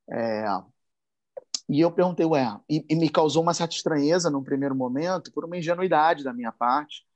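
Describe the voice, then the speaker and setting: A man's voice: fairly high pitch, a little mellow. A man from Brazil with a Carioca accent, giving an impassioned talk full of slangy asides. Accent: Carioca Portuguese. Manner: emphatic, rising and speeding up when worked up, slangy asides.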